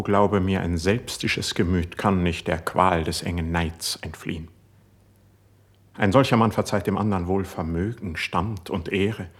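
A man playing a young, proud, wounded poet in a classic verse radio drama, declaiming intensely with a breathy voice. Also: sits low, heavily acted.

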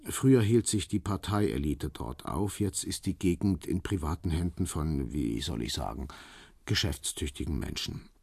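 A shrewd Russian operative in a radio crime play. His voice is low and gravelly with an animated melody, and he stays formal, courteous and businesslike as he briefs his client. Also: no room sound, clean studio sound, heavily acted. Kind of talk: radio crime drama acting.

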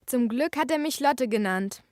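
A pert young girl chats away in a high voice. She is cheeky and expressive, a bike-loving kid from a children's audio drama, recorded close and dry. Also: moderate pace, no room sound. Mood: chatty, cheerful. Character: lively, cheeky girl detective who loves cycling. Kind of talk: children's radio play narration and dialogue.

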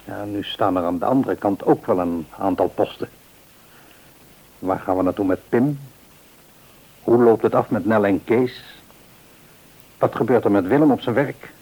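An actor playing a devout, reassuring middle-aged father in a radio play, speaking in a measured, earnest, counselling way. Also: lowish voice, darkish tone, slightly rough, low-quality recording. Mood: earnest, grateful. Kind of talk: mid-century radio play acting.

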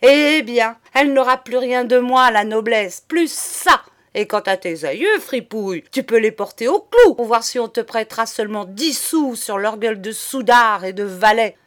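A woman's voice: fairly high pitch, animated, moderate pace, few pauses, fairly bright tone. A woman voicing a furious, foul-mouthed bourgeois lady in a story, explosive and full of contempt. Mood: enraged contempt. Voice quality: hoarse, harsh shriek.

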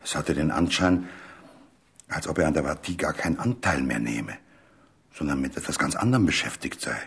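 A man narrating a literary story for radio in a deliberate, suspenseful, dramatic way. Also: very deep voice, fairly bright tone, husky.

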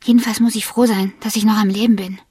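An actress playing a shaken, cautious woman in a radio crime drama, with a breathy, hushed, guarded delivery. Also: medium pitch, steady tone, fast talker, no room sound, clean studio sound, far from the mic, close to an everyday voice. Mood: anxious, guarded.

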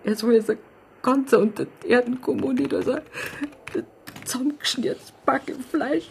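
An actress playing a blunt, stubborn, grieving middle-aged aunt with a Bavarian accent in a naturalistic radio drama. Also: female voice, a little high, animated, moderate pace, gravelly, a little hiss or hum. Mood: wounded, insistent. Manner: blunt, insistent, wounded. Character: stubborn, indignant, grieving aunt, plain small-town Bavarian woman.

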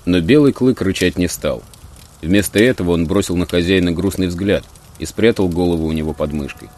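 A man's voice: low voice, a little fast, a little mellow. A man narrating a story as a scripted dramatic audiobook. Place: studio.

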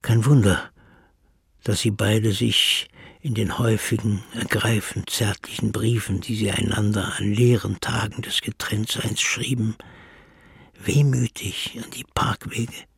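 A man reading scripted literary narration in a husky, creaky voice. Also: fairly low pitch, level tone, unhurried, no room sound, studio quality.

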